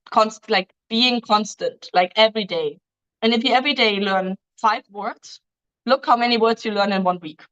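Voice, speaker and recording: A woman hosts a casual live stream, reading out viewer questions and answering at length. Her voice sits at a middle pitch, and her English runs loose, with frequent ums and restarts. The audio is dull and lo-fi. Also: a bit bright, clean tone. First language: German. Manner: reads questions aloud, answers in loose non-native phrasing with many ums. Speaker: dating agency host answering viewer questions.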